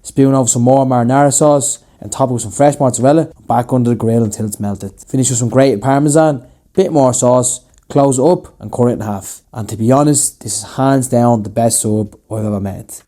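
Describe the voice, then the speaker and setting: A man's voice: mid-range voice, fairly quick, mellow. A young Irish man hosting a cooking video, talking casually and bluntly with the odd swear. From Ireland. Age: young adult. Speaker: cooking channel host. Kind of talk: casual cooking vlog narration.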